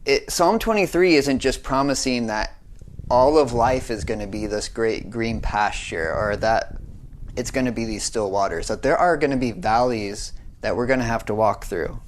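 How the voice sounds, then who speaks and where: American man, young adult, devotional talk, earnest, conversational, mid-pitched voice. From United States. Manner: earnest, conversational, often asks "right?".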